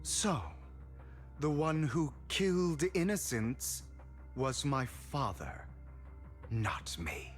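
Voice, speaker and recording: A bitter young heir in a video game, defiant and scornful. He talks slowly with a lively, swinging pitch, over soft music.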